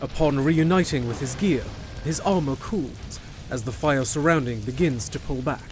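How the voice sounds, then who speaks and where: Male narrator, mid-range pitch, scripted fantasy storytelling, clear music bed. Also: moderate pace, a little mellow. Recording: music behind.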